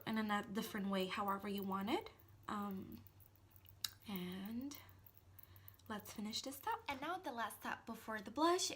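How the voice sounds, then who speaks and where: A woman in her thirties from Italy with an Italian accent, casually talking through a makeup routine, full of ums and small restarts. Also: on the high side. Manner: chatty, with frequent ums and restarts. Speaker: makeup tutorial host. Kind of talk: casual how-to narration.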